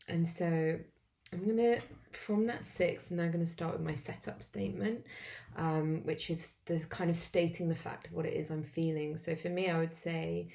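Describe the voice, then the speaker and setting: An English woman who is wheezy and coughing, talking in a halting way with many fillers as she walks through a self-help tapping routine alone at home. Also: fairly low pitch, creaky, warm tone. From England. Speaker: vlogger demonstrating tapping. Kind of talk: casual self-help walkthrough.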